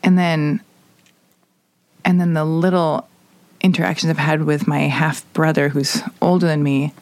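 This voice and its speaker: This is a woman with a slightly low voice, recorded dry and close. She hosts a casual conversation in a candid, rambling manner, confiding and teasing as she goes. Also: level tone, unhurried, a little mellow, no room sound. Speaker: podcast host interviewing a guest.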